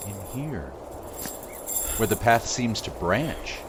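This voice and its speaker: A man's voice: lowish voice, expressive, slow, background noise. An actor playing a loyal, teasing companion who talks in easygoing banter. Music plays behind his voice. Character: loyal male companion, teasing and warm-hearted. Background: background music.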